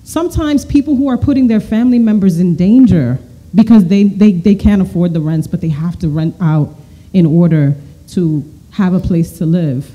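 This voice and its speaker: A woman's voice: lowish voice, moderate pace, warm tone, slightly rough, low-quality recording. A woman giving earnest, emphatic prepared testimony as a community board member at a podium microphone in an auditorium. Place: large auditorium.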